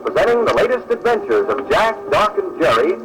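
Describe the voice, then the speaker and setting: A man's voice: high-pitched, big pitch swings, fairly quick, low-quality recording. A man announcing a programme in 1940s radio style. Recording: old radio broadcast, loud music.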